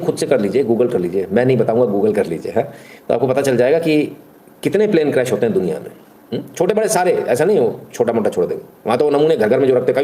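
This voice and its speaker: A man's voice: medium pitch, dark tone. A man hosting live news commentary, speaking emphatically and colloquially with rhetorical questions and bits of English mixed in. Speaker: live commentary show host.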